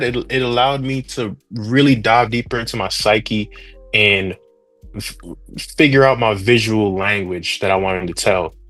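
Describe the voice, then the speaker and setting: Young male guest, relaxed and rambling, low voice, dry close mic with soft music under it. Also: no room sound. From Trinidad. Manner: laid-back, rambling, slangy. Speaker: interview guest, 3D artist. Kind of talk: casual interview chat.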